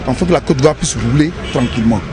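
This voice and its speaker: A man's voice: mid-range voice, fast talker. Ivorian man over noisy street traffic. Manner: emphatic, repeats phrases. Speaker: aggrieved resident interviewed on the street. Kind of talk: street interview. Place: outdoors on a city street.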